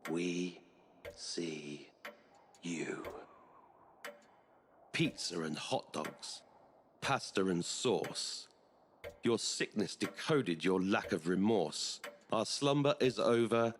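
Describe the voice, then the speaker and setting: A man reciting a spoken-word poem in a slow, ominous, rhythmic way. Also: low-pitched, expressive, slight background noise.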